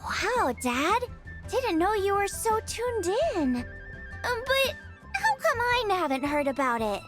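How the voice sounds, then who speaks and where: A woman's voice: very high-pitched, big pitch swings, takes it slowly, over music, heavily acted. A woman voicing a friendly, earnest young girl cook in a video game, youthful and informal. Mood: curious. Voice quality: youthful, girlish.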